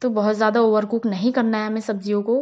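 A woman hosting a cooking channel, calmly talking viewers through a recipe step by step in a voice-over. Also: mid-range voice, quick pace, muffled recording. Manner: calm, step-by-step instructional. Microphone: voice-over, not on camera.

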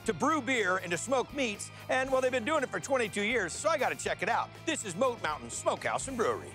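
A man's voice: high-pitched, sing-song, quick pace, bright, forceful tone, faint music behind. A man in his fifties hosting a food TV show, upbeat and slangy, joking as he cooks in a restaurant kitchen.

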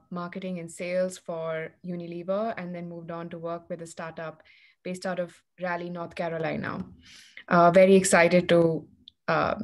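A young woman with an Indian accent speaks on a video call as a student panelist. Her voice is fairly low and stays very even as she introduces herself. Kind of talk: self-introduction on a webinar.

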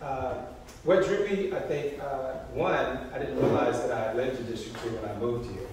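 A man's voice: mid-range voice, moderate pace, a little mellow. A middle-aged man giving a casual, conversational introduction of himself as a candidate. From Oakland, California. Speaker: political candidate and business owner. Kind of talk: informal self-introduction speech. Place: small storefront meeting room, indoors. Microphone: distant camera mic. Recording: echoey room, far camera, noisy.

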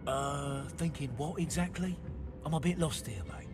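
A man's voice: a little high, moderate pace, close to an everyday voice. A man with a British accent playing a chatty, cheeky working-class crewman in a sci-fi video game, chummy and colloquial. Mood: chummy, wry. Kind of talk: video game cutscene acting. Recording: music under the voice. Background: music.

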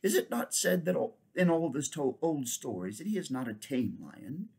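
Noble unicorn, gentle and earnest, lightly raised husky voice; male reader doing him. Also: on the high side, very animated, normal speed, pitched above the performer's own voice, exaggerated voice.

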